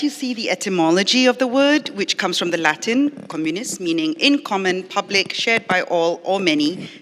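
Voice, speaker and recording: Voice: medium pitch, a bit bright, in a large hall. A middle-aged lecturer and arts educator from Malaysia gives an academic forum talk, partly read from notes, earnest and explanatory with frequent ums and uhs. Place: library event space at a public forum.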